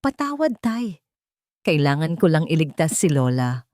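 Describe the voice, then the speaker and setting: A woman's voice: low-pitched, big pitch swings, measured pace, a little mellow, no room sound, clean studio sound. A woman voicing a desperate young woman pilot in a drama story, speaking in a strained, pleading way.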